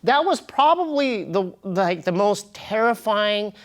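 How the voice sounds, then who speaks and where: A man telling personal stories as an interview guest, in an informal, slangy way with halting, repeated phrases. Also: sits high, big pitch swings. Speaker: interview guest, comedian and podcast host. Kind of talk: casual animated storytelling.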